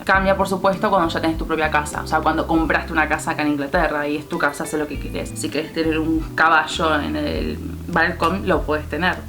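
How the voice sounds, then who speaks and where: A young woman with an Argentine Spanish accent, chatting casually to camera as she answers viewer questions, laughing easily and restarting her sentences. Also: on the low side. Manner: chatty, laughs often, many restarts and fillers.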